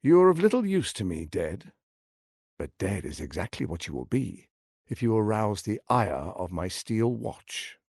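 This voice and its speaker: Suave, pompous villain lord with wildly swooping delivery. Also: male voice, lowish voice, big pitch swings, a little slow, darkish tone, husky, no room sound, clean studio sound, full character voice. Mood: angry, threatening. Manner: pompous, silky, theatrical delivery. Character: suave, scheming, arrogant tyrant lord, adult man. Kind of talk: theatrical video game voice acting.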